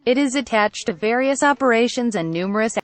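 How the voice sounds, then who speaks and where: Dry, measured synthetic-sounding woman reading aloud. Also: a little high, animated, no room sound. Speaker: text-to-speech reading voice. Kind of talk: reading technical text aloud.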